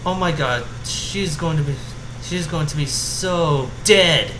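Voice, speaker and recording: Man as brash cartoon pegasus mare, panicky, pitched high, roomy sound with noise behind. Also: slow pace. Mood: panicked. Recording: roomy echo with clear background hum.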